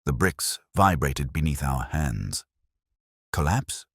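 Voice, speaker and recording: Very deep-voiced man narrating a mystery. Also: very animated, a little slow, no room sound, studio quality. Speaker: audiobook narrator. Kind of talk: scripted dramatic mystery narration.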